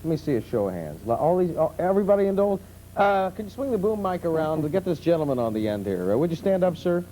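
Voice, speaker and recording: A man hosting a talk show, putting halting, musing questions to his guest in a conversational way. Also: fairly high pitch, big pitch swings, warm tone. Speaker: talk show host, interviewer. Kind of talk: conversational interviewing. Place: television studio with audience. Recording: muffled 1970s television tape with hum.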